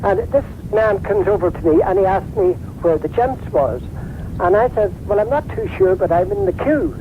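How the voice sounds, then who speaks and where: A man's voice: high voice, sing-song, normal speed, vocal fry. A man with a Donegal Irish accent phoning in to a radio show and telling a story, acting out the voices he quotes. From Ireland. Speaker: radio caller. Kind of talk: phone-in anecdote. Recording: tinny phone line with loud noise.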